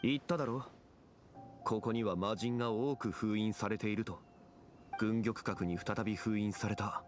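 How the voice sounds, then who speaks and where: Ancient warrior played by a male actor, composed and grave, deeper register, game cutscene delivery over prominent music. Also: fairly even intonation, fast talker, natural voice. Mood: solemn. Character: solemn, composed ancient warrior man recalling old wars. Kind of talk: dramatic Japanese video game voice acting.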